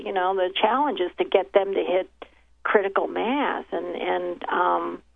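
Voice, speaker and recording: A woman's voice: mid-range voice, creaky, no room sound. A woman who grew up in Haiti with Haitian Creole as her first language, speaking as an interview guest over the phone. She rambles through thoughts with many restarts and fillers, her voice thin and tinny, dry with no room around it. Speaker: guest journalist. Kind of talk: casual interview talk.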